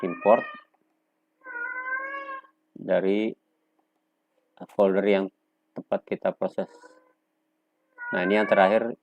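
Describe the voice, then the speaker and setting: A man presenting an unscripted software tutorial step by step, speaking in a halting, instructional way with pauses between short phrases. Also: low voice, flat delivery, muffled recording, noisy background. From Indonesia. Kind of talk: unscripted step-by-step screen demonstration.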